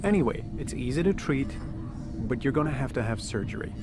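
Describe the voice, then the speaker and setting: A man's voice: mid-range voice, animated, brisk, music in the background, lightly acted. Breezy male ship's doctor played by a man. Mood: casual, reassuring. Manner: casual, matter-of-fact. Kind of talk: science fiction audio drama acting.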